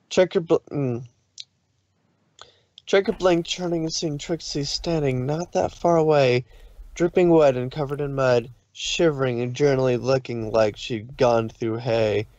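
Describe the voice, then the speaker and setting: A man narrating a scripted story, somewhat haltingly, with occasional stumbles over the words. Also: medium pitch, darkish tone, no echo, muffled recording.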